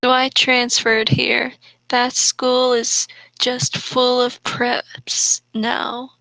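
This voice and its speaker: A woman reads trashy fiction aloud for comedy at a very slow, deliberate pace. Her voice is bright and a little high, recorded dry and close, and she keeps a deadpan delivery. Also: gravelly, no echo, poor audio. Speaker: co-host reading bad fan fiction aloud.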